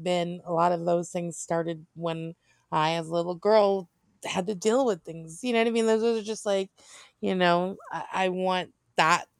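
A woman's voice: fairly low pitch, clear, resonant tone, no echo, studio quality. An American woman chatting casually and candidly, in an informal, rambling way with self-interruptions and some swearing. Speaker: podcast co-host.

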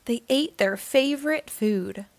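A woman hosting a storytime, reading a children's picture book aloud in an expressive, theatrical way. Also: fairly high pitch, a little slow, no room sound. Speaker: storytime host and reader.

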